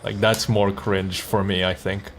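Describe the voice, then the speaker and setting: A man's voice: fairly low pitch, flat delivery, measured pace, slight background noise. A man who streams and voice acts, chatting informally and thinking aloud in hesitant bursts. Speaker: streamer and comic dub voice actor. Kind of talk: casual livestream chat.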